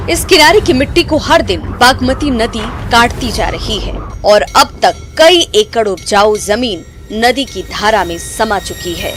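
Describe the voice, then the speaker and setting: High-voiced woman narrating news over music. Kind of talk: scripted dramatic news narration. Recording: music bed behind voice.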